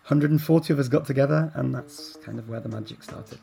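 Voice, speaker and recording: A man, a guest telling stories in a relaxed conversation, getting animated during anecdotes. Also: mid-range voice, quick pace, vocal fry. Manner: animated when telling anecdotes, laughs while talking. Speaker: guest, language learner. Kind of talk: conversational storytelling.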